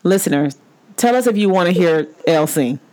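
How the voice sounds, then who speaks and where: A woman's voice: lowish voice, animated, fairly quick, dark tone, low-quality recording. A woman co-hosting a podcast in casual chat, blunt and jokingly bossy with her co-hosts. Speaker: podcast co-host.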